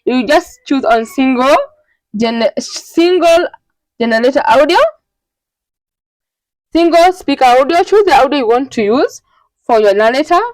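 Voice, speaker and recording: A woman with a fairly high voice walks listeners through a software tutorial one step at a time. She is instructional and often checks that they are following. The recording is dry, with very little room sound. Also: lively intonation, unhurried, pauses often, dry recording, low-quality recording. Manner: instructional, repeatedly checking in with "okay". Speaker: tutorial host.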